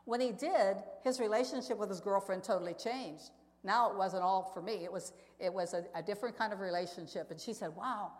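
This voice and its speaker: A woman's voice: mid-range voice, fairly quick. A woman giving an earnest, conversational church testimony talk as a missionary speaker, telling stories and putting questions to her listeners. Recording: echoey hall with long reverb.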